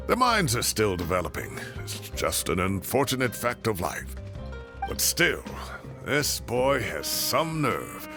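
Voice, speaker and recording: Blustery, genial old professor, animated and low. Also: male voice, exaggerated voice. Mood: indignant. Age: older. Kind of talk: video game voice acting. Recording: game music underneath.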